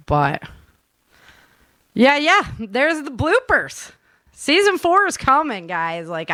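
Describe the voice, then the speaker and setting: A woman's voice: mid-range voice, fairly bright tone, dry recording. A woman hosting a casual chat as an author, speaking in an enthusiastic, informal way with ready laughter. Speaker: author and show host.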